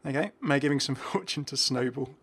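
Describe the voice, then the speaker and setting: A man's voice: medium pitch, fast talker, fairly bright tone, breathy edge. A man hosting a gaming video with casual, unscripted commentary in a chatty, slightly hesitant way as he reacts to what he sees.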